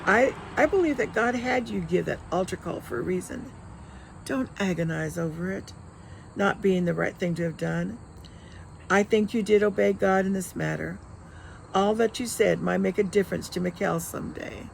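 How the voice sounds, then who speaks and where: A woman voicing a devout man in a story, earnestly and gently reassuring his old friend. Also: mid-range voice, normal speed, vocal fry, a little hiss or hum, rough recording.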